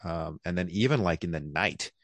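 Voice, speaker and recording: A man in his thirties with a very low, deep voice talks in an easygoing, rambling way. He fills his sentences with "um" and "you know" as he answers questions as a guest. His voice sounds close and dry, with no room sound. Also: moderate pace, dry recording. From born in South Korea, raised across the United States. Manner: easygoing, rambling, many fillers. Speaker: guest, physician. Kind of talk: casual interview conversation.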